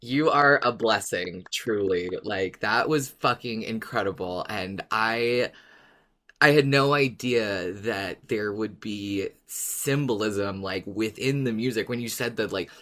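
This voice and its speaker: Podcast co-host, informal fan chat, excitable and emphatic delivery, casual phrasing. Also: mid-range voice, takes it slowly. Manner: excitable, emphatic, peppers talk with "like".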